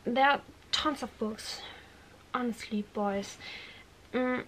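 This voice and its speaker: High female voice, slow, halting whispers, exasperated bookish young witch acted by a woman, ASMR roleplay, faint background noise. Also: fairly bright tone, low-quality recording. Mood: lecturing. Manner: halting, breathy whispering, bossy and earnest.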